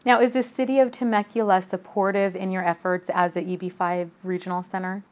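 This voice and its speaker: A woman in her thirties hosts a business interview, her voice sitting a little low as she asks polite, brisk questions. She is recorded indoors in an office on a clip-on mic. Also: flat delivery. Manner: polite, scripted intro then brisk questions. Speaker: host. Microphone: lapel mic.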